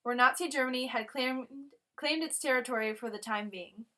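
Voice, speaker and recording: A woman's voice: a little high, normal speed, bright, forceful tone. A woman reading a scripted history newsreel narration.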